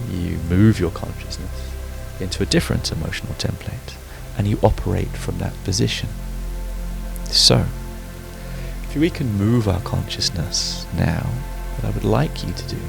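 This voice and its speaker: Deep-voiced man guiding sleep meditation. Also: vocal fry. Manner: soothing, unhurried instruction with frequent pauses. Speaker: meditation teacher and guide. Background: background music.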